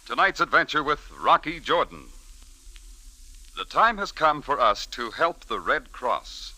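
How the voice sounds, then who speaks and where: A man's voice: a little high, bright, forceful tone, poor audio. A man announcing in formal, earnest old-time radio style, reading from a script. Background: music.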